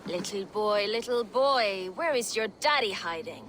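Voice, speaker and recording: A woman's voice: medium pitch, animated, a little slow, background noise, natural voice. A woman voicing a menacing, mocking female Viking raider in a video game. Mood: sing-song taunting. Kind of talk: game voice acting.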